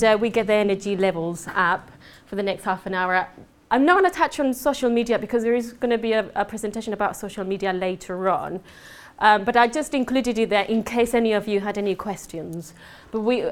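Chatty Spanish-accented woman speaker. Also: mid-range voice. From southern Spain. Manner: fast, chatty and joking with the audience. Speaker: networking speaker. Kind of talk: lively conference presentation. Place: conference room. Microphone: mic at a talk.

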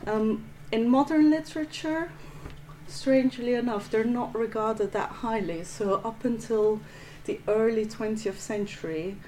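A woman from Flanders with a Flemish accent, a museum curator giving a measured art history lecture with frequent restarts. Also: fairly high pitch, dark tone, slight background noise, rough recording. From Belgium (Flanders). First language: Dutch. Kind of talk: prepared lecture.

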